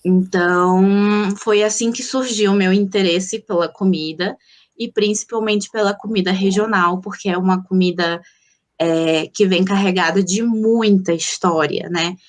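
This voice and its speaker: A woman from the Amazon, giving an informal, personal lecture and reflectively pausing to find her words. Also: mid-range voice, steady tone. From Colombia, grew up in Manaus, Brazilian Amazon. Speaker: guest lecturer, anthropologist.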